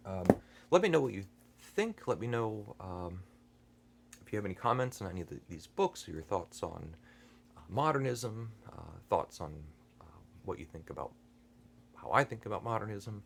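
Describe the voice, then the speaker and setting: A man hosting a book channel, talking through a review unscripted, hesitant and earnest, with many fillers and restarts and frequent throat clearing. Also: fairly low pitch, big pitch swings, moderate pace, pauses often, slight background noise. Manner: thoughtful and earnest.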